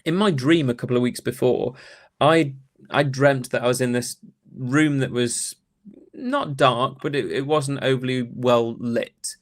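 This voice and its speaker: A man's voice: medium pitch, fairly even intonation, unhurried. A man speaking as an academic interview guest, telling anecdotes in a thoughtful, rambling, conversational way.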